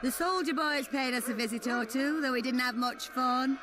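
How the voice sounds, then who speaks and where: A woman's voice: high-pitched, moderate pace, noisy background, natural voice. An actress playing a bawdy, defiant brothel woman in a video game, drawling mocking taunts.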